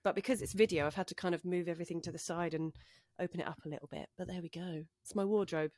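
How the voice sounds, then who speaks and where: A woman's voice: lowish voice, steady tone, fast talker, a little mellow, no echo. Chatty woman, dry booth sound. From North London, England. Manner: chatty, self-deprecating, laughs often. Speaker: podcast guest, broadcaster. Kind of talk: casual podcast chat. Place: home wardrobe voice booth, door open. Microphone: studio condenser mic.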